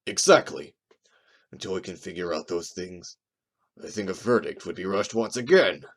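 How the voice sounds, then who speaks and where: A man's voice: low-pitched, normal speed. A man voicing a stern, argumentative wolf in a courtroom audio drama. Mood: challenging.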